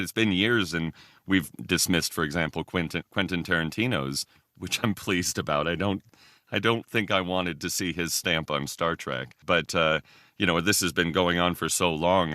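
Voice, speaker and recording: Male podcast guest, low-pitched voice, very dry sound, casual and thoughtful with halting phrasing. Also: sharp tone, dry recording. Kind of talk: casual discussion on a fan podcast.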